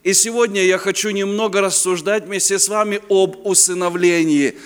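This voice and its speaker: A man's voice: high-pitched, fairly even intonation, moderate pace, clear, resonant tone. A man in his fifties from Ukraine, a native Ukrainian speaker with a Ukrainian accent, giving a chatty, joking sermon as a guest preacher at a youth conference, sometimes searching for words. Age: 50s. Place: conference hall. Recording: reverberant hall.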